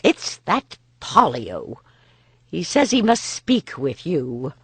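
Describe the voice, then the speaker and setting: A reader voicing an old woman attendant who coaxes gently and slowly, in a storybook reading. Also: medium pitch, wildly swooping pitch, husky, no room sound, heavily acted. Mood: grudging. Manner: slow, coaxing.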